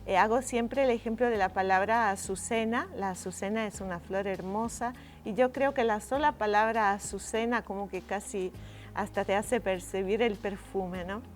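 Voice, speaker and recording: A middle-aged woman from Italy, a native Italian speaker, speaks as a writer being interviewed. Her voice sits at an average pitch, and she talks reflectively and expressively, pausing to find the right word. Music is present in the recording. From Venice, Italy.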